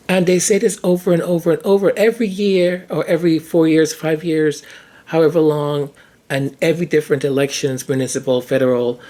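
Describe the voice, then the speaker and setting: A podcast host with a hoarse voice, talking through ideas in a casual monologue, often restarting and repeating words mid-thought. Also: medium pitch, a little mellow.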